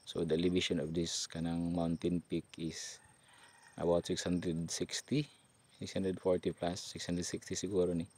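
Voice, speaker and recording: A man's voice: low voice, completely flat delivery, unhurried, a little mellow. A man with a Cebuano Filipino accent giving casual, informal vlog commentary in short fragments as he works on site. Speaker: radio repeater technician and vlogger. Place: hilltop radio repeater site. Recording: noisy on-site amateur recording.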